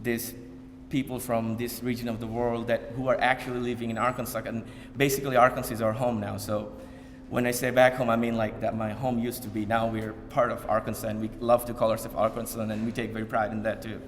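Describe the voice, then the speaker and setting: A man from Bangladesh talks to an audience in a loose, unscripted way. He stops and restarts often and leans on fillers. His voice sits at a middle pitch and carries a long hall reverb. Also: little pitch movement, slight background noise. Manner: halting, many fillers and repeated starts. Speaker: community speaker. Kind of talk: informal public talk to an audience. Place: event hall.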